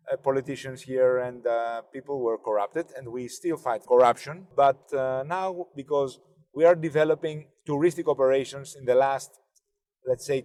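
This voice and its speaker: A man in his forties from Athens with a Greek accent, speaking English as a second language. He answers interview questions in a car, in a measured way, often pausing to find his words. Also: mid-range voice, a little mellow, in a large hall. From Greece, Athens. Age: 40s. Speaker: driver and interview guest. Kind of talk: casual conversation. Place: inside a car.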